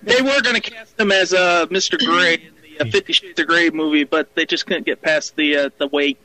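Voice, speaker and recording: A man's voice: fairly high pitch, fairly quick, sharp tone, poor audio. A man in a casual internet radio chat, trading teasing jokes and mock protests. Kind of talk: casual joking banter.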